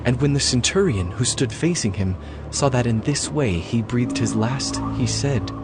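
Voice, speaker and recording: A man narrates a dramatized scripture story at a middle pitch, solemn and scripted in style. Clear background music runs behind his voice. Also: expressive, normal speed.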